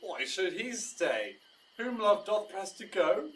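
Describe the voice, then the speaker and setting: An actor playing an ardent, fickle young lover in a Shakespearean audio drama, speaking verse with theatrical emphasis. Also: male voice, high voice, very animated, slow, fairly bright tone, a little hiss or hum, heavily acted. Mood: cold, dismissive. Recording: voice distant from mic.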